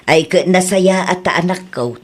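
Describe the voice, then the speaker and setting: A woman's voice: on the low side, moderate pace, natural voice. A woman playing a patient Ilocano mother. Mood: advising. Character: caring middle-aged mother, gently advising. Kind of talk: audio drama acting.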